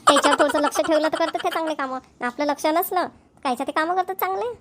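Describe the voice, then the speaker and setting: A woman's voice: high voice, very fast, fairly bright tone, slight background noise. A woman with a Vidarbha Marathi accent voicing a chatty, concerned middle-aged village woman in an amateur cartoon.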